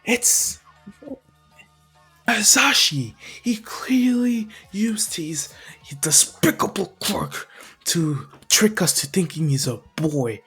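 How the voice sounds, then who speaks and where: A man's voice: sits high, animated, takes it slowly, sharp tone, slightly rough, faint music behind, voice pushed up, exaggerated voice. A man playing a scornful, suspicious hero making accusations in an improvised anime story.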